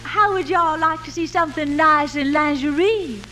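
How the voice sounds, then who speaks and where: Very high-pitched Southern salesgirl, old radio. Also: normal speed, pushed and bright. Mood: sweetly eager. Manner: syrupy, folksy. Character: gushing, flirty Southern salesgirl from Alabama. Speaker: shop clerk. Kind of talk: 1950s radio comedy acting. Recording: noisy old radio show recording.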